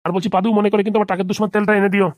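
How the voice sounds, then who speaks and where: A man's voice: high voice, little pitch movement, rapid-fire, no room sound, studio quality, close to an everyday voice. A man voicing a friendly, slightly bossy male cartoon doctor who talks informally and familiarly, with a sentimental streak. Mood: bossy, reassuring. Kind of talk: comedy cartoon dubbing in colloquial dialect.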